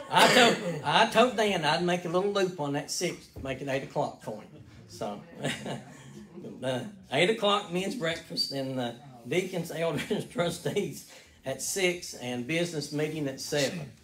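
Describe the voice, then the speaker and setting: A middle-aged man making relaxed, joking church announcements from a pulpit, with many filler words. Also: a little high, unhurried. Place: small wood-paneled church sanctuary. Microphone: stand mic at pulpit, far camera.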